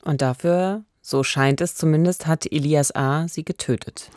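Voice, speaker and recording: A woman in her thirties speaks as a podcast co-host. Her voice is slightly low, and she is recorded close and dry in a radio studio, chatting about crime. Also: moderate pace, darkish tone, dry recording. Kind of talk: conversational true-crime podcast talk. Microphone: close studio microphone with pop filter.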